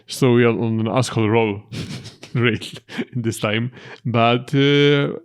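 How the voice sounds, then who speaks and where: A young man with a Polish accent, a native Polish speaker co-hosting a reaction video, talking in a halting way and often repeating words as he jokes dryly. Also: mid-range voice, steady tone. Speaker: reaction channel co-host. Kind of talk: casual chat, joke telling.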